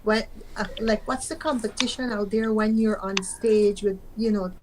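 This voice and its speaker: A woman's voice: mid-range voice, steady tone, normal speed, darkish tone, low-quality recording. Woman, co-host, casual interview, hesitant with fillers, faint noise.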